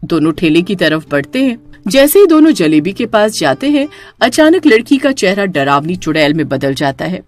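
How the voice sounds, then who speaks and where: A woman's voice: fairly low pitch, big pitch swings, moderate pace. A woman narrator reading a scripted horror story.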